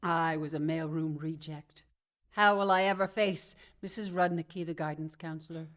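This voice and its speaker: A woman's voice: sits low, fairly even intonation, moderate pace, lightly acted. A woman acting a sarcastic, wisecracking career woman who has just been fired, in a comedic radio drama. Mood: dry sarcasm.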